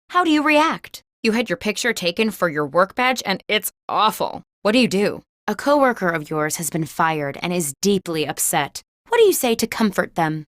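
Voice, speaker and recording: Animated female party-game host. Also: mid-range voice, dry recording, studio quality. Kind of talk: scripted comedic prompt reading.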